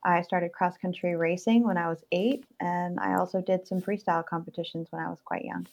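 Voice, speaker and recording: A woman in her twenties from Vermont, answering interview questions as an athlete guest in a steady, articulate way. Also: fairly low pitch, fairly quick, creaky. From Vermont, United States. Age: 20s. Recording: lo-fi remote call line.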